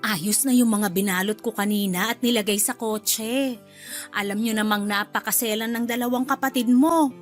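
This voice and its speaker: An actress as an older Filipino mother-in-law, gracious and chatty, doting on her grown children. Her voice is fairly high. It is radio drama with music underneath. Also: steady tone, moderate pace, natural voice. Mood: gracious, cheerful.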